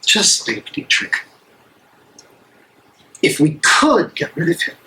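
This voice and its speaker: Man as fervent resistance plotter, very slow emphatic delivery, bright forceful tone, stage drama, faint noise. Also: a little high, wildly swooping pitch, exaggerated voice, poor audio. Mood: hopeful. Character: fervent, resolute male German resistance conspirator.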